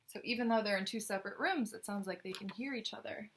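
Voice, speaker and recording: A woman's voice: mid-range voice, fast talker, noisy background. A woman reading a children's picture book aloud as a storyteller, expressively, with chatty, questioning asides to young listeners.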